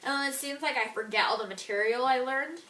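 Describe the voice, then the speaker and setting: A woman's voice: high voice, fairly quick, bright, forceful tone. A teenage girl answering interview questions casually, with lots of fillers, trailing off at the ends of her thoughts. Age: teens. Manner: chatty, filler-heavy, trailing off.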